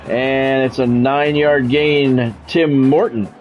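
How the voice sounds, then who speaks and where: A man doing measured, broadcast-style football play-by-play over a video game, in clipped phrases with casual gamer asides. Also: medium pitch, steady tone, muffled recording, soft background music.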